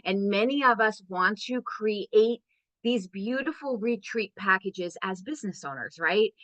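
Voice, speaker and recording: A woman's voice: mid-range voice, lively intonation, unhurried, fairly bright tone, no echo, rough recording. A woman hosting an interview, effusive and enthusiastic as she introduces her guest. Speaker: host, business coach.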